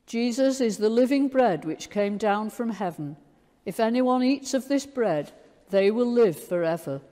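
An older woman with an English accent, a priest reciting liturgical prayers in a solemn, measured way. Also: fairly high pitch, expressive, mellow. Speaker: priest leading a communion service. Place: large stone church, indoors. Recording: long church reverb.

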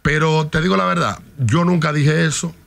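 A man's voice: a little high, normal speed, vocal fry, a bit bright. A man with a Dominican accent, a guest in a podcast studio, telling a story with heat and plenty of swearing. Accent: Dominican Spanish. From Dominican Republic. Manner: heated, profane storytelling. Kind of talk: casual chat. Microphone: studio mic on stand.

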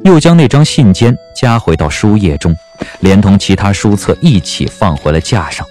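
A man's voice: lowish voice, animated, normal speed, darkish tone. A man narrating a scripted audio drama in a dramatic storytelling style. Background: background music.

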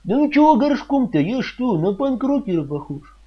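Shrill, fussy, stammering radio host. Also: male voice, sing-song, normal speed, muffled recording, exaggerated voice. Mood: flustered. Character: fussy, pompous, flustered male radio host. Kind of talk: comedy radio sketch acting.

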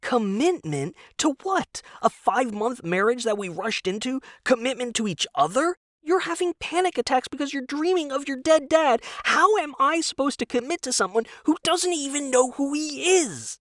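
Man acting out a high-pitched exasperated young wife. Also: animated, moderate pace, hardly any pauses, a bit bright, no room sound, studio quality. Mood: angry, cutting. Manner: biting, emphatic, incredulous. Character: exasperated, furious young wife, sharp and scornful. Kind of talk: audio drama storytelling.

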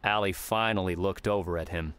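A man's voice: low-pitched, brisk, fairly bright tone, smooth. A man reading scripted fiction as an audiobook narrator.

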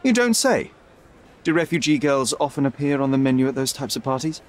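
An actor playing a stern young detective in a video game, cold and righteous, speaking in a clipped, accusatory, sardonic manner. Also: male voice, mid-range voice, sing-song, a little fast, lightly acted. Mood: sardonic, accusing. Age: young adult. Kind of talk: video game cutscene acting.

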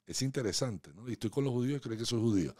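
A man's voice: mid-range voice, lively intonation, rapid-fire, warm tone, no echo. A man, a podcast guest chatting casually, playful and storytelling. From Venezuela. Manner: playful, asks teasing questions, storytelling. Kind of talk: casual interview chat. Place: small home podcast studio. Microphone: studio mic on boom arm, headphones.

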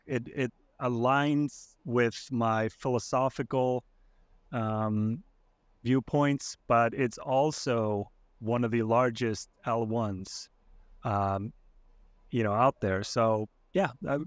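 A young man from Iceland speaks as a podcast guest from his home streaming setup. His mid-range voice comes through a headset on a video call, dry with no room sound. He talks in a halting way, full of fillers and restarts. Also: mid-range voice, no room sound. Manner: hesitant, many fillers and restarts. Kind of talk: casual interview chat.

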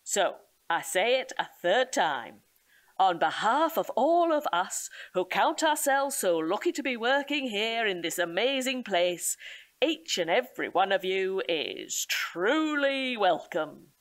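An actress playing an earnest, solemn nature reserve guide, speaking in a measured, emphatic, formal way in an audio drama. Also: female voice, mid-range voice, very animated. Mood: ceremonious, welcoming. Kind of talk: audio comedy drama acting.

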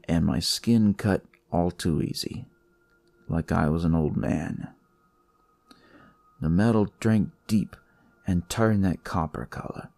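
A man narrating dramatic noir horror in the first person, with a deliberate, brooding delivery. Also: sits low, gravelly, warm tone, no echo. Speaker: storyteller reading in first person as a detective. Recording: music behind the voice.

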